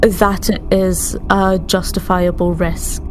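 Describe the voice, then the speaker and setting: Female tabletop gamer, lowish pitch, measured formal discussion in character, clear background noise. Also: little pitch movement. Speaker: player in a tabletop roleplaying game. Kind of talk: in-game discussion.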